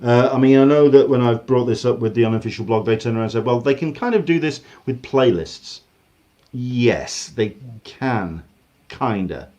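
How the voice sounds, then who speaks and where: A man's voice: medium pitch, moderate pace. A man with an English accent talks through a casual livestream from his home, rambling and doubling back mid-sentence. His voice sits in an ordinary middle register and comes through a video call. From England. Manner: rambling, restarts often, animated. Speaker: host. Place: home room. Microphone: video call with earphones.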